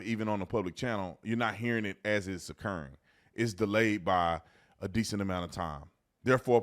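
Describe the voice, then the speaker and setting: An American man hosting an informal, emphatic commentary, repeating phrases to drive his points home. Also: fairly low pitch. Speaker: host commentator, former police officer.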